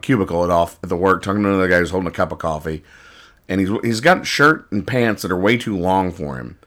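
A man's voice: deep voice, a bit bright. A man hosting a podcast, describing comic strips in casual banter with an amused, exasperated manner. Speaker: podcast host.

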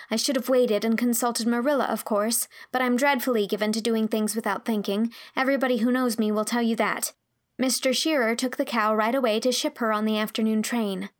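A woman's voice: on the high side, steady tone, a little fast, lightly acted. A woman voicing an earnest, impulsive sixteen-year-old girl in an audiobook, speaking politely and confessionally. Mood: contrite confession. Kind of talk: audiobook character dialogue.

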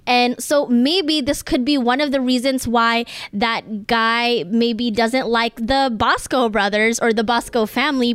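A woman's voice: fairly high pitch, a bit bright, clear, resonant tone, no room sound. A woman co-hosting a podcast in casual conversation, speaking candidly and swearing casually. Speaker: podcast co-host.

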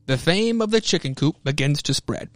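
A man's voice: a little high, expressive, moderate pace, dry recording. An American man hosting a podcast, mixing theatrical comic-book narration with casual commentary. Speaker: podcast host.

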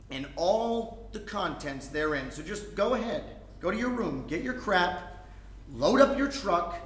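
A man acting out a fretful, fed-up younger brother in a live comedic play reading. His voice is high for a man, with terse, testy replies. It rings in a roomy space. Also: bright, forceful tone, full character voice. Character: exasperated, anxious younger brother standing his ground. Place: indoor room before a live audience. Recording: roomy live stage recording.

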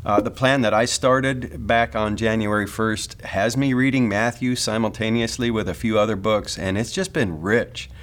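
A man with a fairly low voice opens a new preaching series. He talks to the congregation in a friendly, conversational way, like a pastor easing into a sermon. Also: fairly even intonation, moderate pace, hardly any pauses, fairly bright tone. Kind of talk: sermon introduction.

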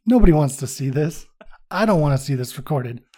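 A man's voice: a little high, expressive, a little fast, a crowd in the background. A man co-hosting a casual podcast, self-mocking, laughing often and swearing freely. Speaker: podcast co-host. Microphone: studio podcast mic.

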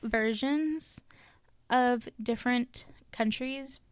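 A woman's voice: on the high side, extremely slow, clean tone, dry recording. Casual podcast co-host, woman, from Canada, loose rambling storyteller. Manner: chatty, lots of likes and ums, laughs at own stumbles. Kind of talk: casual chat, telling legends.

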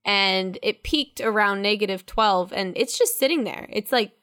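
A woman's voice: medium pitch, moderate pace, a bit bright. A woman co-hosting a casual podcast, chatty and giggling often. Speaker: podcast co-host. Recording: faint music and mic static.

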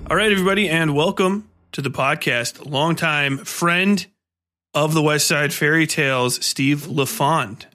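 Male podcast host, higher-pitched and very bright, informal and jokey. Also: measured pace. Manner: informal, freely swearing. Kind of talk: casual, joking podcast banter.

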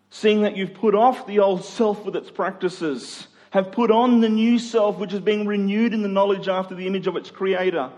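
An Australian man giving a sermon in an earnest, conversational way, repeating phrases for emphasis. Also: sits high. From Queensland, Australia. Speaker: preacher. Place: large room, preaching to a congregation. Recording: echoey hall with long reverb.

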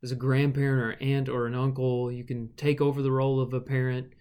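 A man with a middle-pitched voice works through a Bible passage in conversation. He reasons step by step and drives his argument home with rhetorical questions. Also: little pitch movement, brisk, a little mellow, clean tone. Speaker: Bible study podcast co-host. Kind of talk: conversational Bible study discussion.

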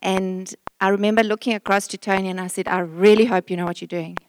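A middle-aged woman telling a personal story to a church congregation in an animated, emphatic manner. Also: medium pitch, fast talker, slight background noise. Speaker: guest speaker introducing her husband.

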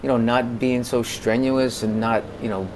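An American man in his forties speaking conversationally as an interview guest, in a halting way that often repeats words. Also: mid-range voice, steady tone, background noise. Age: 40s. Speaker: podcast guest, music consultant.

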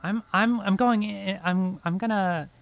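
A man with a fairly high voice talks casually as a player in a tabletop game. The recording has faint noise in the background. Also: unhurried, gravelly. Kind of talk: casual chat during a game.

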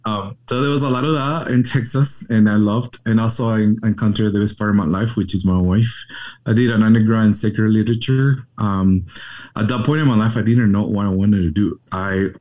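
Man, thirties, Chilean Spanish accent, mellow voice, fairly low pitch, reflective and measured. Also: fairly even intonation. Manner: reflective, measured, with frequent ums. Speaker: hospital chaplain, guest. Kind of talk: conversational interview.

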